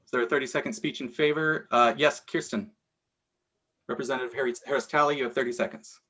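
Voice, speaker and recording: A man chairs an online meeting in a plain, mid-pitched voice. He moves things along briskly, calling for speeches for and against each candidate. He sounds like he is on a video call. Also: fairly even intonation, pushed and bright, breathy edge. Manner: brisk procedural calls for speeches.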